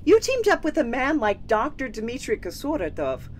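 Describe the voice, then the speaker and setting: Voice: sits high, wildly swooping pitch, normal speed, slight background noise. A performer playing a resigned but argumentative captive with a dry, sarcastic manner in a comedic audio drama. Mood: sardonic. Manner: dry, sardonic.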